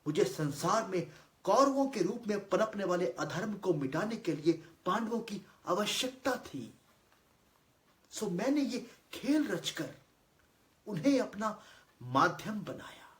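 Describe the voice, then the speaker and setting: Guy acting as a solemn male god, high voice w big dramatic swings, declaiming a monologue in a roomy echo. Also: very animated, a bit bright. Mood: solemn, instructive. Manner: declamatory, deliberate, with weighty pauses. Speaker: monologue actor. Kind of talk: theatrical dramatic monologue in formal Hindi. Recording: roomy, echoing voice recording.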